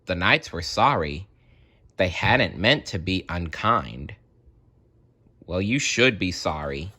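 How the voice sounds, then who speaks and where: Guy doing a kids read-aloud, slow n expressive, bright clear-cut voice, chatty asides. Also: sits low. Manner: expressive, deliberate, with chatty reactions to the story. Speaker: storybook reader. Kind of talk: read-aloud children's story with casual asides.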